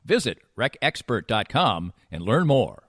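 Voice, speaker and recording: A man's voice: medium pitch, sing-song, a little slow, fairly bright tone. A man hosting a podcast, moving between casual banter and sponsor segments. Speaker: podcast host.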